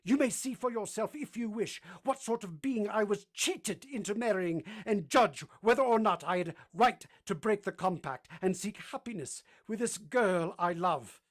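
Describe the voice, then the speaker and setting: Brooding period gentleman played by a male actor, bitter, anguished, declamatory radio drama delivery. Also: sits high, animated, moderate pace, hardly any pauses. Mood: bitter defiance. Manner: impassioned, declamatory. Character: anguished, brooding English gentleman, bitter and pleading with his beloved.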